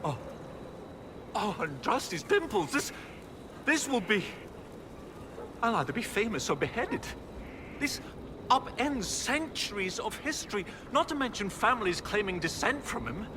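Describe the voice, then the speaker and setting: An actor playing an excitable, earnest scholar in a video game, talking in a fussy, polite, stammering way. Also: male voice, high-pitched, a little slow, pauses often, background noise. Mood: excited, eager.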